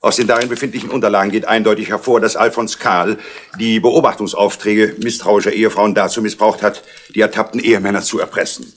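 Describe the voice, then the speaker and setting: Actor for a stiff, by-the-book inspector; low, husky voice; dry, official delivery. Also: male voice, steady tone, quick pace, lightly acted. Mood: formal. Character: formal, pedantic male police inspector. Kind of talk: 1970s radio crime play acting.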